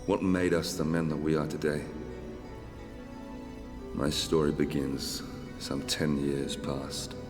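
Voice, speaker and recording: A man's voice: extremely low pitch, little pitch movement, takes it slowly, long pauses. An actor narrating as a grown Roman soldier looking back on his youth, reflective and solemn. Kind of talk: scripted narration. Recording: long reverb under music.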